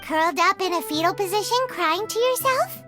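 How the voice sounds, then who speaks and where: A woman's voice: extremely high pitch, lively intonation, a little fast, over music. A woman voicing a small, perky and cheeky fairy-like companion creature in a video game cutscene. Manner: refers to self in third person, teasing.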